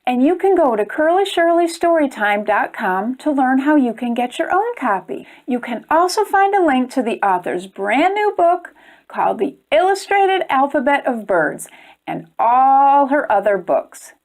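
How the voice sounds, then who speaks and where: High-voiced woman reading to children, very animated. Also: measured pace. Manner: expressive, addressing young children directly. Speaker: storytime host reading a children's book. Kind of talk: children's read-aloud narration.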